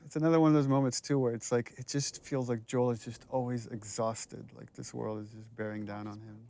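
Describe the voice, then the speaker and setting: A man with a medium-pitched voice talks casually as a game director. He explains scenes reflectively, in a conversational commentary style. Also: steady tone, a little fast, a little mellow, slight background noise.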